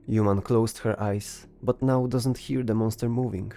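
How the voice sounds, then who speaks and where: A man's voice: on the low side, steady tone, moderate pace, vocal fry, mellow, a little hiss or hum. A man reading scripted narration for a fantasy story as its narrator. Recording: music behind the voice. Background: music.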